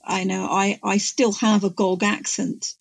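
A middle-aged woman, explaining things informally in an animated, emphatic, digressive way. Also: medium pitch, unhurried, creaky, dry recording. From North Wales. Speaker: guest expert on Welsh language and poetry.

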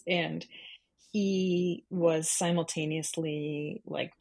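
Low husky woman's voice, journalist chatting in an interview, thinks out loud as she explains. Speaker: journalist guest. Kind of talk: conversational interview, explaining their writing process.

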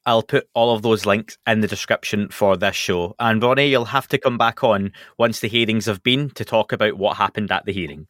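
A man's voice: lowish voice, fairly quick, few pauses, fairly bright tone, dry recording, studio quality. A man hosting a podcast, wrapping up an interview in a friendly way and reading the closing plugs steadily. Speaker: podcast host.

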